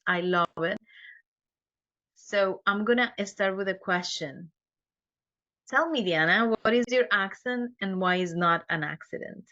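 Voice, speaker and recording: Bright-voiced woman host, dull recording. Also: mid-range voice. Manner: warm praise, halting restarts when asking questions. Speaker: podcast host. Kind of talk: interview. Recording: dull lo-fi podcast audio.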